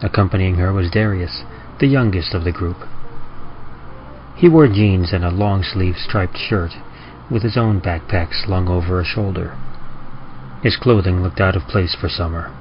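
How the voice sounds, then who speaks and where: Male horror narrator, low pitch, deliberate dramatic pauses, slightly dull muffled audio. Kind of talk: dramatic scripted horror reading. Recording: muffled audio with clear background noise.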